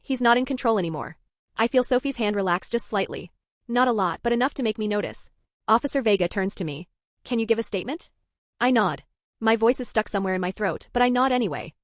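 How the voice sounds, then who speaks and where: A woman's voice: mid-range voice, animated, fast, no echo, studio quality. A woman narrating a first-person story in a tense, dramatic way, with scripted delivery.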